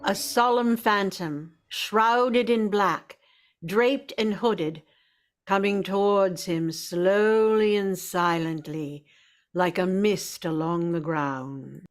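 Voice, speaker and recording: A woman narrating a radio drama as a storyteller, in a measured, literary manner. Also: medium pitch, faint music behind.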